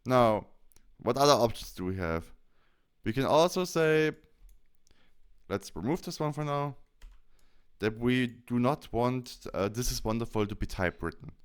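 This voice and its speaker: Male tutorial presenter, casual and instructional, smooth clear tone with animated inflection. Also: mid-range voice, a little slow, pauses often. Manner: explains step by step. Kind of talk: casual software tutorial narration.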